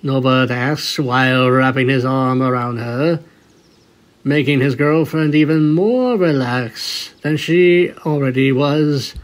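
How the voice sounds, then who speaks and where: A man's voice: medium pitch, fairly even intonation, slow. A man reading a scripted story aloud as its narrator. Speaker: narrator of a fan fiction reading. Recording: music bed behind the voice.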